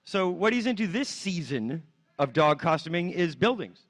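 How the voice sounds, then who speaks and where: Man, high voice, casual improvised comedy chat. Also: very animated, normal speed, fairly bright tone.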